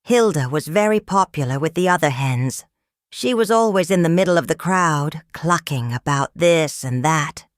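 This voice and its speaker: A woman reading a children's picture book aloud in a calm, measured, expressive storytelling manner. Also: low voice, dry recording, clean studio sound. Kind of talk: children's read-aloud narration.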